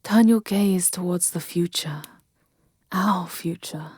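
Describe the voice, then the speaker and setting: Woman acting a slow, breathy, low-voiced vampire seductress. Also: darkish tone, no echo, clean studio sound. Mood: condescending, adoring. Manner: slow, breathy, haughty, often dropping to a whisper. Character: possessive, seductive, imperious ancient female vampire lover. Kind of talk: intimate ASMR roleplay acting.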